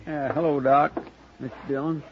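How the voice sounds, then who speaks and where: A man acts out a homespun, guileless frontier helper in a radio western. He has a respectful rural Western drawl in a fairly high voice, on a hissy, dull old recording. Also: fairly even intonation, normal speed, natural voice. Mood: amiable. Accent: rural American West. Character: folksy, guileless, deferential frontier sidekick. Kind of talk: 1950s radio western acting.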